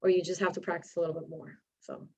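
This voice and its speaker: A woman's voice: fairly low pitch, level tone, fast, vocal fry, dark tone, slight background noise. A woman whose first language is Spanish, speaking English with a slight accent as she tells a story on a panel and acts out the quotes. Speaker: panelist, architect.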